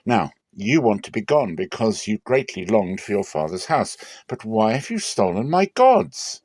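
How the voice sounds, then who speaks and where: A man's voice: very animated, normal speed, dry recording, clean studio sound. A man voicing an indignant older man confronting his son-in-law in a dramatic scripture reading, accusing and strained. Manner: accusing, loud, strained high voice.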